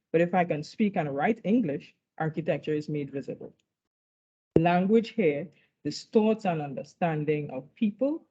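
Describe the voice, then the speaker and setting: Woman giving a measured slide talk. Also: deep voice, mellow, slightly rough. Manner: measured, often checks in with "right?". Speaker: academic presenter. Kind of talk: conference talk walking through slides. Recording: dull lo-fi recording.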